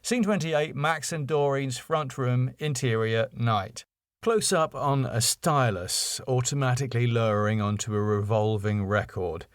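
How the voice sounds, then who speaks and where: A man's voice: mid-range voice, unhurried, clean tone, no room sound, studio quality. A middle-aged British man hosting a podcast, reading his own writing and talking casually to listeners. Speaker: podcast host and writer. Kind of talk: scripted screenplay reading and casual podcast talk.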